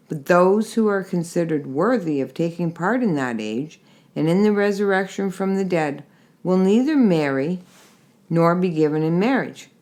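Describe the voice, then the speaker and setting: A woman reading a Bible chapter aloud in a measured, steady way with gentle emphasis. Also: fairly low pitch. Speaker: scripture reader.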